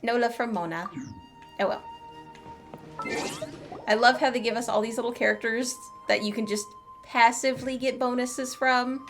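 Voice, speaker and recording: High-voiced playful woman streaming a game. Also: fairly even intonation, moderate pace, pauses often, fairly bright tone. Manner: playful, chatty, talks to herself. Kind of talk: casual gameplay commentary. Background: game music.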